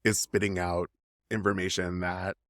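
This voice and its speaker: Male podcast host, low register, informal and conversational, loose phrasing, dry studio-like sound. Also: level tone, normal speed, a bit bright, dry recording. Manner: informal, with filler-like slang and broken-off starts. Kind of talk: casual chatty interview.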